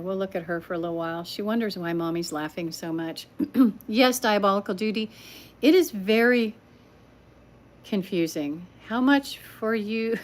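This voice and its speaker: An older woman telling stories at home on a livestream, chatting with viewers and laughing often. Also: medium pitch. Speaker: livestream storyteller. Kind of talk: casual livestream chat. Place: home living room.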